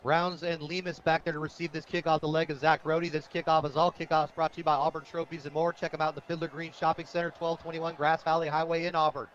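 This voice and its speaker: A man's voice: fairly high pitch, flat delivery, quick pace, few pauses, fairly bright tone, slight background noise. An American man giving live radio play-by-play commentary of a football game. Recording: dull lo-fi radio broadcast audio.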